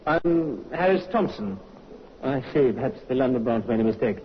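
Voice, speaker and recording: An actor playing a smooth, composed male con man in an old radio drama, evasive when pressed. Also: mid-range voice, expressive, normal speed, a little hiss or hum, natural voice. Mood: cool. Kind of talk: 1950s radio acting. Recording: muffled vintage radio recording.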